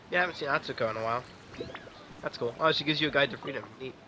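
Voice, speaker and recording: A man's voice: mid-range voice, racing, sharp tone. Casual man commenting over noisy gameplay. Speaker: game streamer. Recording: clear background game ambience and noise.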